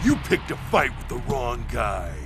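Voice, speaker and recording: Tough mocking brute, gravelly, lively pitch, blunt slang, video game. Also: male voice, moderate pace, loud music behind the voice. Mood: threatening. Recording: game soundtrack under the voice.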